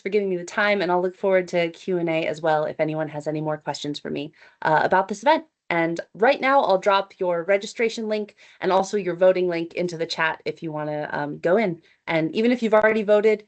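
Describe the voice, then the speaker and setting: A woman's voice: lowish voice, fairly quick, hardly any pauses. A woman, presenting at an online meeting in a chatty, upbeat, inviting way over a computer mic. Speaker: event organizer presenting. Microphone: computer mic on video call.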